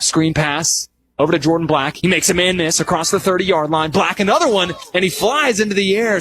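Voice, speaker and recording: A man's voice: high voice, a bit bright. A young man calling live football play-by-play for radio as the announcer.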